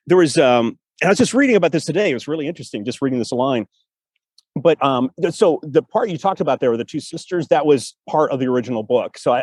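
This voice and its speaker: A man's voice: medium pitch, darkish tone, no room sound. A man hosting a casual conversation, telling personal stories in an earnest, emotive way. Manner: earnest and emotive, acts out remembered dialogue. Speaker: podcast host and audio drama writer.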